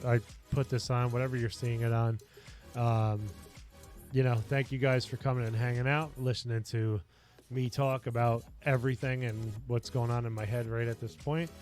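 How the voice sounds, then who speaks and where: A man chatting casually on his home livestream in a basement office, rambling and earnest with lots of fillers, his voice getting a little raspy. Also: medium pitch, a little mellow. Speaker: streamer and lifestyle coach.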